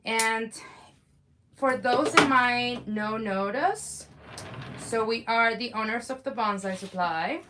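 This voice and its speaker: A woman's voice: fairly high pitch, takes it slowly, background noise. A woman co-owner hosting a live bonsai stream, chatting cheerfully with viewers. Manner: upbeat, chatty, addresses viewers.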